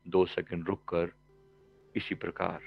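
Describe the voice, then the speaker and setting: A man guiding a meditation as a spiritual teacher, calm and measured, pausing between short phrases, on a dull, muffled recording. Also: deep voice, creaky, husky, soft background music. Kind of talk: guided meditation instruction.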